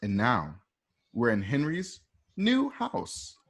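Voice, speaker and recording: Stage-direction narrator: man, medium pitch, casual, informal script read. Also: wildly swooping pitch, takes it slowly, breathy edge.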